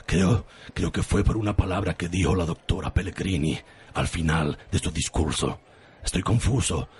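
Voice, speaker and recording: A man playing a gullible, solemnly confused soap hero who speaks haltingly and melodramatically in an absurd radio soap. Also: low voice, a little mellow, slightly rough. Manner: halting, melodramatic, repeats himself.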